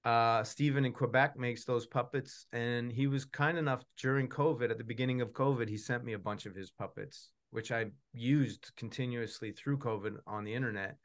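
A middle-aged man with a medium-pitched voice talks casually over a video call from a room at home. He rambles a little and plays down his own know-how as he answers questions. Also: fairly even intonation, normal speed, hardly any pauses. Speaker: puppeteer answering audience questions. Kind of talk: casual chat.

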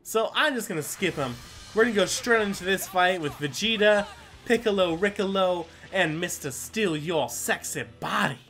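A man's voice: high-pitched, moderate pace, bright, forceful tone, soft background music. A man hosting a gameplay video with casual, rambling commentary, full of exclamations and mild swearing. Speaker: gaming video host.

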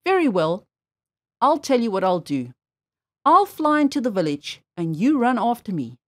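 A meek father blackbird from a children's folktale, acted by a woman reader. The voice is timid and eager to comply, fairly high and full of rise and fall. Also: sing-song, normal speed, fairly bright tone. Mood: resigned. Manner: timid, compliant, brief answers.

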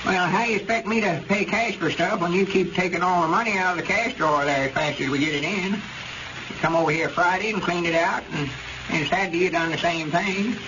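An actor playing a plain-spoken, grumbling old country storekeeper in rural dialect, in 1930s radio comedy style. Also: male voice, high voice, a little fast, lightly acted. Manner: folksy rural dialect, slightly stammering. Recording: muffled, noisy old radio recording.

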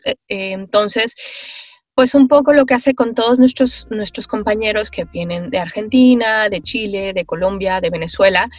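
A woman, a voice actress answering interview questions on a video call, thoughtful and animated, with many pauses and fillers. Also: mid-range voice, dry recording. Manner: animated, gestures while talking. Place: small room with foam panels.

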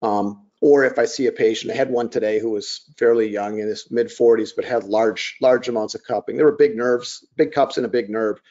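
A middle-aged man from Wisconsin lectures to colleagues in a somewhat low, faintly raspy voice. He talks in halting bursts with frequent fillers and false starts. The audio is dull and lo-fi, like a computer mic on a webinar call. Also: steady tone, a little mellow. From Wisconsin, USA. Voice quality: slightly raspy, froggy. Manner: halting, many fillers and restarts. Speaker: optometrist lecturer. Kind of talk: webinar lecture over slides.

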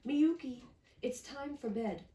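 A woman voicing a patient, gentle old grandfather coaxing a child to bed. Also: high-pitched, expressive, takes it slowly, reverberant, recorded from a distance, pitched above the performer's own voice.

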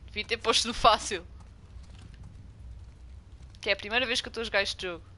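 A woman's voice: on the high side, fairly quick, pushed and bright, slight background noise. A young woman from Portugal with a strong European Portuguese accent, streaming a game and chatting with viewers. She gets jumpy and swears when startled. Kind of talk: casual gameplay commentary.

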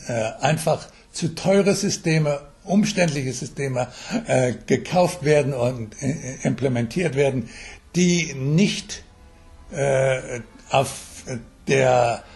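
An older man with a slightly high voice gives explanatory interview answers. He speaks haltingly and mixes English jargon into his sentences. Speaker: mobile health expert being interviewed. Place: telephone interview.

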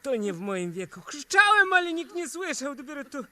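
An actor in a 1970s Polish radio play, playing a fussy, suspicious middle-aged auditor with an indignant, theatrical manner. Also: male voice, very high-pitched, big pitch swings, brisk, sharp tone, exaggerated voice. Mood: flustered, complaining.

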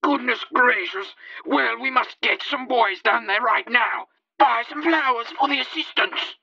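A teenage male performer voicing a blustering, impatient older police chief with a gravelly, raspy voice. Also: very high-pitched, unhurried, sharp tone, muffled recording, voice pushed up, exaggerated voice. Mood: impatient, alarmed. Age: teens.

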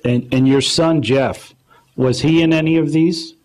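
A man's voice: a little high, fairly even intonation, a little slow, darkish tone. A man asking questions as an interviewer in a question-and-answer conversation.

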